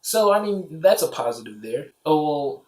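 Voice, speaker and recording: A man's voice: on the high side, sing-song, moderate pace. A man giving a casual, offhand movie review, rambling along with lots of filler phrases. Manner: rambling, offhand, peppered with "you know" and "like". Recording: roomy, echoey home recording.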